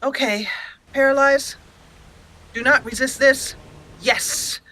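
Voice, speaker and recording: A woman hosting a gaming channel, giving expressive roleplay commentary and storytelling while talking to the game characters. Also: high-pitched, slow pace.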